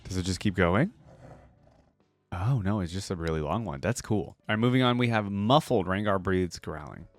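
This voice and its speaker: A man's voice: deep voice, moderate pace, a little hiss or hum. A young man giving casual, slangy reaction commentary, musing aloud as he goes. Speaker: reaction video host.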